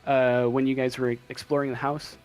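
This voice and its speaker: A man narrating a tabletop role-playing game as game master, describing scenes in halting pieces. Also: medium pitch, level tone, darkish tone. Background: music in the background.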